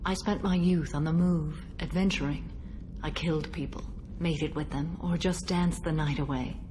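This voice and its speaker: Woman playing a solemn, low-voiced alien warrior mother. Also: steady tone, warm tone, lightly acted. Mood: somber, regretful. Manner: formal, measured, grave delivery. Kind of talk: dramatic video game acting. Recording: clearly noisy game audio, ambience.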